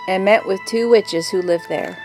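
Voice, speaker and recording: A woman playing a grave mother who tells an old family story in a measured, wistful way in an audio drama. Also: mid-range voice, a little mellow, soft background music, lightly acted, rough recording. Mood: solemn.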